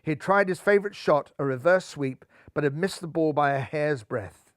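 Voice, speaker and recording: A man in his sixties reading a children's story aloud in a lively, theatrical way, seated in a living room with a lapel mic. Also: fairly high pitch, moderate pace, no room sound, studio quality. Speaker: storybook narrator.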